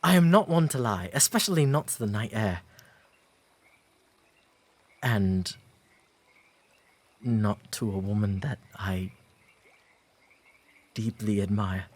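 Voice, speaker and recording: Man acting a slow, breathy English gentleman suitor. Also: on the low side. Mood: admiring, reassuring. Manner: formal, halting, nervously sincere. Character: earnest, courteous 19th-century gentleman, shyly smitten suitor. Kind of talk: romantic roleplay audio acting, slow and gentle.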